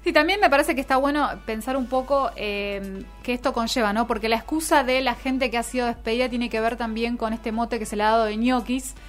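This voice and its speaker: High-voiced Argentine woman hosting radio. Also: fairly quick, hardly any pauses. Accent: Argentine Spanish. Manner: seeks agreement with frequent tag questions. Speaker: radio co-host and interviewer. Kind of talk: conversational radio commentary and interviewing. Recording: music playing behind the voice.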